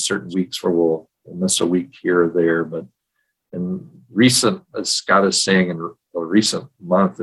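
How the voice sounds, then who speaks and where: A middle-aged or older man co-hosting a podcast, talking casually in a measured, reflective way. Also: deep voice, a bit bright, breathy edge, low-quality recording. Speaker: podcast co-host. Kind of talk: casual conversational podcast talk, personal storytelling. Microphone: video call.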